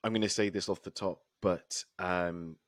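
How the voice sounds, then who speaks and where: A man with a hoarse, husky voice co-hosting a casual podcast and laughing often between remarks. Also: low voice, fairly even intonation, normal speed, no echo, clean studio sound. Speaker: podcast co-host. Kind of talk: casual chat.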